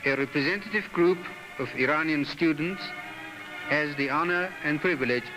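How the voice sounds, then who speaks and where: A man's voice: on the high side, normal speed, low-quality recording. A man narrating a 1960s newsreel in a formal, stately, declamatory manner. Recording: 1960s newsreel soundtrack with music. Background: background music.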